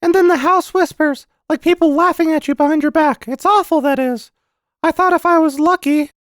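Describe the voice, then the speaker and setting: Narrator putting on an anxious, very high-pitched little boy. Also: normal speed, no room sound, clean studio sound, natural voice. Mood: anxious, secretive. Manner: nervous, insistent. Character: eager, anxious young boy, earnest and innocent.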